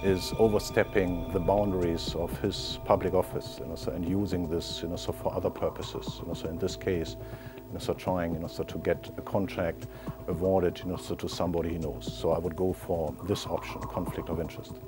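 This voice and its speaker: A man's voice: deep voice, level tone, brisk, over music. A man giving unscripted expert answers as a quiz contestant, hesitant and often inserting "you know, so" as he explains.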